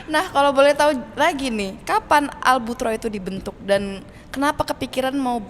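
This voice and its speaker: A woman hosting a casual, playful interview chat, often echoing back what her guests say. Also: high-pitched. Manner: playful, quick to echo answers. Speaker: host. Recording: noisy, long echoey reverb.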